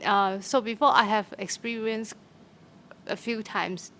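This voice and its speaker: A young woman from Hong Kong with a Hong Kong accent, answering in a halting way with many fillers and restarts. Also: medium pitch, fairly even intonation, a bit bright. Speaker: theatre practitioner. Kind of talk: conversational interview answer. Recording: faint background noise.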